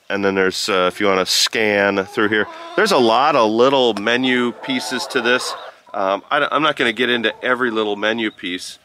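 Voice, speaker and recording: A man giving a casual, unscripted product review, explaining step by step in a halting, informal way. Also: lowish voice, bright, forceful tone. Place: outdoors on a rural farm. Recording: noisy outdoor farm ambience with chickens. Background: clucking chickens, outdoor ambience.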